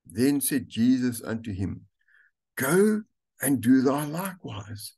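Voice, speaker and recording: An older man, a pastor, reading scripture aloud and preaching a sermon slowly and deliberately from a room at home. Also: medium pitch, sing-song, darkish tone, slightly rough. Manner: slow, deliberate reading with stretched words. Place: home room with curtains, indoors.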